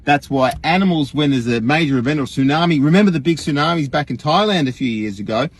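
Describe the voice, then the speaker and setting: An Australian man imitating an earnest, rambling online guru in a folksy, emphatic livestream monologue, with a husky voice. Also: fairly high pitch, lively intonation, fast talker, dry recording. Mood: earnest, alarmed. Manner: folksy, emphatic, rhetorical questions, frequent chuckles.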